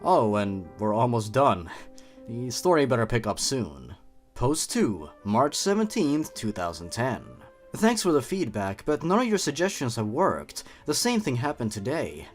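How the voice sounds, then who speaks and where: Animated young man reading internet horror stories. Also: mid-range voice, unhurried. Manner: playful, wry asides while reading. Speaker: YouTube host and narrator. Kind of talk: commentary and expressive reading of an online horror story. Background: background music.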